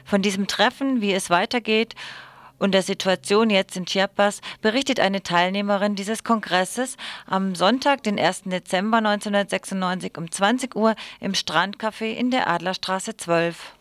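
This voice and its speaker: A woman's voice: medium pitch, moderate pace, dry recording. A woman radio presenter reading scripted event announcements.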